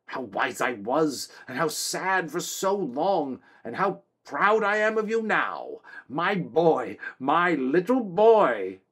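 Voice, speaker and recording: A man's voice: fairly high pitch, sing-song, slow, hardly any pauses, a bit bright, slightly rough, voice pushed up, exaggerated voice. A man voicing a feeble, wheezing old man who is a self-pitying villain. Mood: wheedling, self-pitying.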